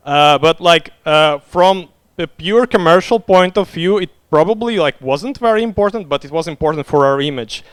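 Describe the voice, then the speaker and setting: A man's voice: a little high, fairly bright tone, clean tone, no echo. Russian-native man fielding audience questions. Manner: loose, filler-heavy, leans on "like". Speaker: conference speaker. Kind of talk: Q&A after a talk.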